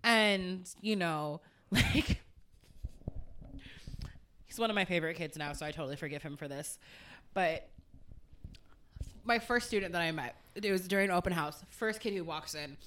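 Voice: medium pitch, moderate pace, pauses often, a bit bright, slight background noise. A person with a woman's voice chatting candidly and casually on a podcast as a co-host, swearing freely. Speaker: schoolteacher.

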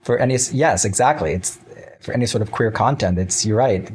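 A man speaking as an interview guest in casual conversation, choosing his words carefully and haltingly. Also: fairly low pitch, darkish tone, a little hiss or hum.

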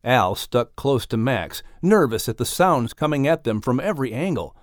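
A man narrating an audiobook story in an expressive, scripted storytelling style. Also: mid-range voice, normal speed, no echo, studio quality.

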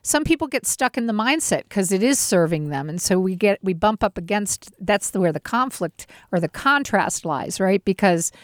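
A woman's voice: mid-range voice, animated, moderate pace, few pauses, no echo, clean studio sound. A woman in her fifties, a guest who founded a nonprofit, speaking in a heartfelt, flowing, inspirational way. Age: 50s. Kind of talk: conversational interview.

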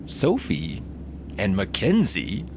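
A man playing an excitable eager traveler. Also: slow, background noise, full character voice. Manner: excitable, pitch jumps high when excited. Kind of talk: comedy audio drama acting.

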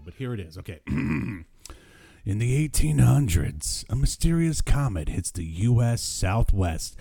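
A man's voice: medium pitch, warm tone. A man with a mid-range voice hosts a casual podcast chat. He riffs in a jokey, exasperated way, talking loosely and conversationally. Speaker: podcast host. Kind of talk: casual chat about old cartoons.

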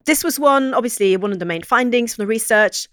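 A woman podcast co-host explaining her research in a casual, thoughtful way, often pausing with fillers and restarting sentences. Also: a little high, expressive, fairly bright tone, no room sound, studio quality.

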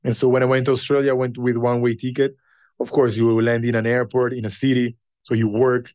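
A man who learned English later in life, a podcast guest telling his story in an unhurried, reflective way with pauses. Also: mid-range voice, little pitch movement, a little mellow, dry recording, studio quality. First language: not English. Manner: unhurried and thoughtful, with pauses and fillers.

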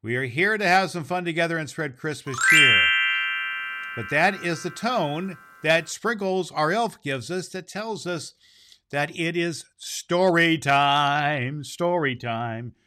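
A man's voice: on the high side, takes it slowly, over loud music. A man performs as a jolly old Santa Claus hosting a children's holiday show. He is theatrical and jovial, a little full of himself. He talks directly to his listeners and leans on repeated words for emphasis. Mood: jolly, booming.

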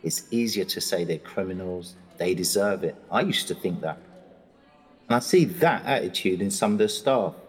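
Audio drama inmate: middle-aged educated Englishman, low husky voice, articulate and indignant, long reverb. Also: a little mellow. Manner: articulate, measured, confiding. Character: educated older male prisoner, reflective and indignant.

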